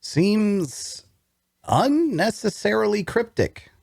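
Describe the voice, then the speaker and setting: A man's voice: high voice, very animated, slow pace, vocal fry, darkish tone, husky, dry recording, clean studio sound, full character voice. A man playing a wounded, bookish prisoner in an audio drama table read, wary and inquisitive, with a hesitant, questioning delivery.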